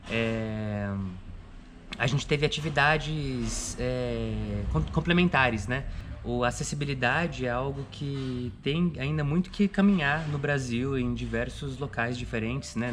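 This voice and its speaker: A Brazilian man talking haltingly in an interview, often ending phrases with a tag question. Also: mid-range voice, a bit bright. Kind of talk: interview talk. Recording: faint ambient noise.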